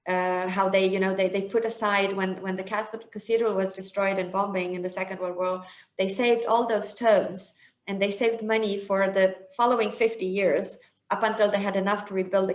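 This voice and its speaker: Halting woman hosting a webinar, roomy sound. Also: medium pitch. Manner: halting, with many um fillers. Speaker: webinar host. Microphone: video call. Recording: roomy video-call sound.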